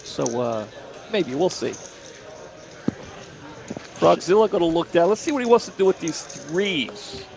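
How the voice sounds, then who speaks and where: A man's voice: fairly high pitch, sing-song, a little slow, creaky, long pauses, darkish tone, a little hiss or hum. A middle-aged man from Delaware doing casual poker commentary, chatty and repeating himself as he guesses at hands. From Delaware, USA.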